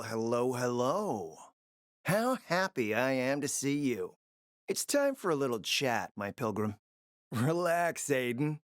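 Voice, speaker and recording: Sly smooth-talking spymaster for a game, theatrical and urbane, pitch moves around a lot, dry recording. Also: male voice, no room sound. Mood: smooth, coaxing. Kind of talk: video game voice acting.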